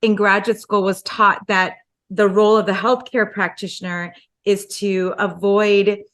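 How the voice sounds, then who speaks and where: Middle-aged American woman, animated storyteller. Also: medium pitch, unhurried. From United States. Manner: animated storyteller, many "like" and "you know". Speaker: guest dietitian. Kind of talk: casual interview chat.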